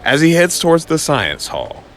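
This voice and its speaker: A man's voice: on the high side, wildly swooping pitch, normal speed, slight background noise. A man narrating a scripted audio comic story in a dramatic storytelling style.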